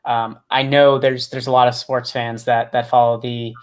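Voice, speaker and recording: A man's voice: mid-range voice, little pitch movement, normal speed. A man hosting a podcast interview over a video call, talking casually with many restarts and fillers. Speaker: podcast host.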